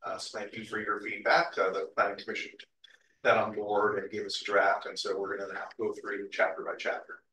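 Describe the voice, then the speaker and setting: A man speaking as city council liaison at a board meeting, relaxed with many fillers. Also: on the low side, a little fast, far from the mic. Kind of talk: meeting report. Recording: echoey room, off-mic, faint noise.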